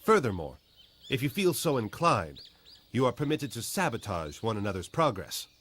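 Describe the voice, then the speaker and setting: Stern husky ninja instructor. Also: male voice, medium pitch, big pitch swings, fairly bright tone. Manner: formal, measured.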